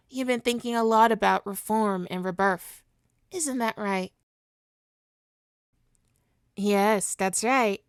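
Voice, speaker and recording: A woman playing a suave supervillain hypnotist in an ASMR roleplay, coaxing and possessive, with a soothing, deliberate, mock-polite manner. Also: mid-range voice, clean tone, no room sound, clean studio sound, natural voice. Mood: hypnotic, commanding.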